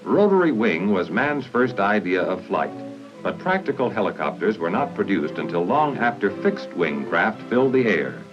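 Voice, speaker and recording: An American man reading formal, instructional narration in a measured way for a 1950s military training film, on a muffled, noisy old soundtrack. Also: fairly low pitch, sing-song, over music.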